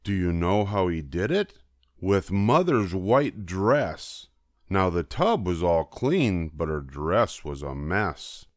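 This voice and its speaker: An older man reads a children's storybook at an unhurried pace. His low voice swings expressively and lingers on key words, recorded close and dry. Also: dry recording. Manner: animated, drawn-out emphasis. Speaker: storybook reader.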